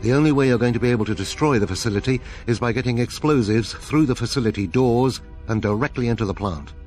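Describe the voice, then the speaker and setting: A man's voice: medium pitch, quick pace, a little mellow, faint music behind, natural voice. A man playing a businesslike military officer giving a scripted, matter-of-fact mission briefing in a video game.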